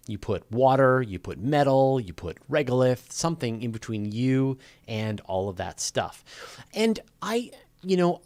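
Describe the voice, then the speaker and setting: A man with a fairly low voice talks in a casual, explanatory way, like a host taking live questions. He thinks aloud and leans on key points. The sound is dry, with very little room in it. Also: dry recording. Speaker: host of a live space and astronomy Q&A.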